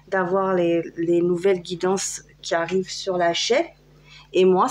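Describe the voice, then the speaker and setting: A French woman talks her viewers through a tarot card reading in a loose, chatty way, full of fillers and restarts. Her voice sits somewhat low for a woman. A faint hum runs under the recording. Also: darkish tone. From France. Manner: chatty, hesitant, many fillers. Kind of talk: casual tarot guidance video.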